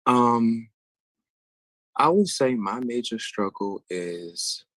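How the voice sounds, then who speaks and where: A man's voice: medium pitch, expressive, very slow, a bit bright, no echo. A man, a singer answering interview questions and explaining vocal technique thoughtfully and at length. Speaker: guest singer.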